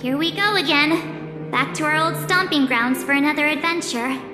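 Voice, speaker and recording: A woman's voice: high-pitched, moderate pace, natural voice. A woman playing an upbeat, girlish adventurer in a video game, casual and chirpy. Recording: echoey, music behind.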